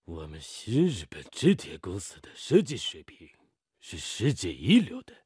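An actor playing a proud Japanese steel company engineer in a radio drama, speaking formally and with haughty emphasis. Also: male voice, lowish voice, huge pitch swings, a little slow, a little mellow, slightly rough, no echo, clean studio sound, soft background music, heavily acted. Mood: haughty, dismissive.

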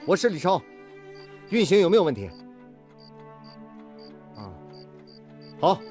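An actor playing an earnest, dedicated factory engineer in a Chinese radio drama. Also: male voice, high-pitched, very animated, takes it slowly, darkish tone, faint music behind, full character voice. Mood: agitated, urgent. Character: earnest, dedicated male factory engineer, devoted to his work.

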